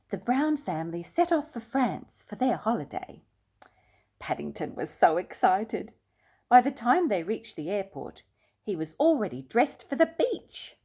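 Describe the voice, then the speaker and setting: Casting: older female storytime reader, unhurried, very varied intonation, prompts little listeners with questions. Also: medium pitch, dark tone, slightly rough. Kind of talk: storytime read-aloud with asides to young listeners.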